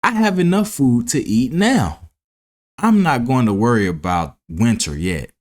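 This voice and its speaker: A man voicing a carefree, mocking grasshopper in a children's storybook, with a teasing, drawn-out delivery. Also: mid-range voice, big pitch swings, darkish tone. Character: carefree, mocking young male grasshopper who loves play. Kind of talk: children's storybook read-aloud.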